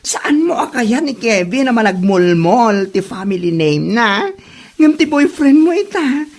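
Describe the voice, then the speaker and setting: A woman's voice: fairly high pitch, very animated, measured pace, a little mellow, exaggerated voice. A woman with an Ilocano Filipino accent playing a dramatic, mocking character in a comedy drama, with theatrical, laughing exclamations. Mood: mocking dismay.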